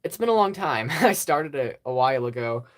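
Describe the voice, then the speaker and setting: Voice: mid-range voice, animated, moderate pace. A YouTube host in a casual, rambling channel update, hesitant and self-deprecating, with many ums and small laughs. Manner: self-deprecating about own past work. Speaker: stop-motion channel host.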